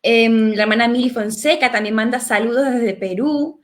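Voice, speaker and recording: A woman co-host reads greetings from viewers on a church program, moving through them in a steady, list-like rhythm. Her voice sits a little high. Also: normal speed. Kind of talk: reading out viewer messages.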